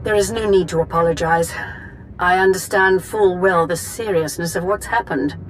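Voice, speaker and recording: An actress playing a calm, imperious Sith empress in a game cutscene. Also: female voice, fairly low pitch, moderate pace, soft background music, lightly acted, rough recording. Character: regal female Sith empress, cool and imperious. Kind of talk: video game cutscene acting.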